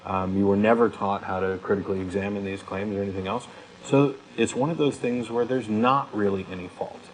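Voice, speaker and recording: A man's voice: on the low side, fairly even intonation, a little fast, low-quality recording. A man co-hosting a talk show, speaking conversationally and emphatically as he reasons through his own views. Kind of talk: conversational discussion, personal reflection. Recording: clear background noise, room ambience.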